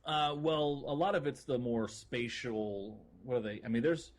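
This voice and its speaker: A man's voice: medium pitch, a little slow. A man co-hosting a casual gaming podcast, talking in an informal, enthusiastic, loosely phrased way. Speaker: podcast co-host.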